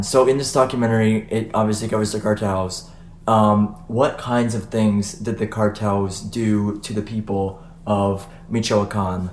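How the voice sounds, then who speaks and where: A young man hosting a casual podcast, chatting loosely with fillers and restarts. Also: on the low side. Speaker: podcast host. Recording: echoey room, faint noise.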